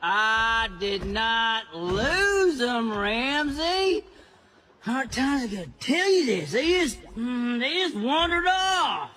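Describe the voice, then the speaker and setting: A man voicing a goofy, teasing young cowboy dinosaur in an animated film, with a folksy American Western drawl. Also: very high voice, slow pace, exaggerated voice. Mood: defensive. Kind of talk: animated film character acting.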